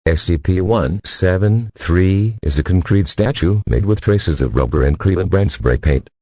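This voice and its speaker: A man with an extremely deep voice narrates a scripted emergency alert. His delivery is formal and stiff, with a husky edge and a dry, close sound. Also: moderate pace, darkish tone, no echo.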